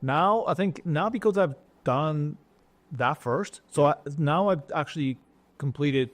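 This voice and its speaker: A middle-aged man from Japan with a Japanese accent, a chef talking as a guest in an interview, pausing often and restarting his sentences. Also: fairly high pitch, no echo. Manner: halting, many fillers and restarts. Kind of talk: casual interview talk.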